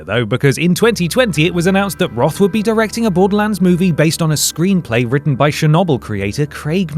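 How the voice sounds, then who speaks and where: A British man reading scripted narration as a presenter, in a wry, sardonic manner. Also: mid-range voice. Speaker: video essay presenter.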